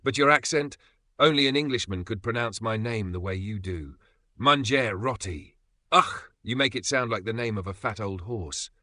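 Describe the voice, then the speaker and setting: A man puts on an ironic Italian businessman who savours every teasing remark, stretching his words out grandly. The voice sits low but sounds bright and forceful, and it is recorded close and dry. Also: lively intonation, no room sound. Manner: teasing, drawn-out and theatrical. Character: amused, ironic Italian businessman in Paris, middle-aged man.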